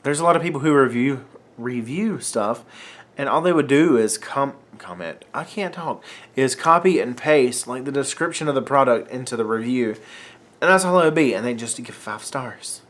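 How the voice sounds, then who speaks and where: A young man talks straight to his camera at home under a ring light, rambling and correcting himself mid-sentence. He's coming down with a cold and keeps clearing his throat, and his pitch sits right in the middle for a man. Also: expressive, moderate pace, a bit bright. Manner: rambling, filler-heavy, self-interrupting. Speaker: vlogger. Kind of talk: casual unedited vlog chat. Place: room at home. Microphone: camera mic, facing camera under ring light.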